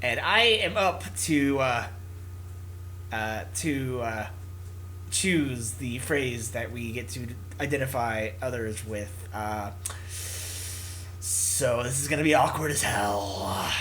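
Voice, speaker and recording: A young-sounding gamer talking casually through a visual novel playthrough in a halting, self-interrupting way. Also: medium pitch, a bit bright, a little hiss or hum. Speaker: gaming video creator. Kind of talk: casual let's-play, reading a visual novel aloud.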